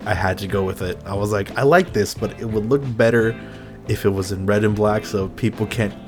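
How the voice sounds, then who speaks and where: A man with a lowish voice talks in a loose, rambling way, dropping curse words and breaking into laughter often. He comes across as a laid-back podcast host in casual chat, with faint music under the talk. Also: a little fast.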